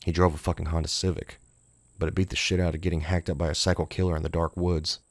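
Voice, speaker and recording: Very deep, gravelly man narrating horror. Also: little pitch movement, quick pace, no room sound. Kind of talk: scripted horror audiobook narration.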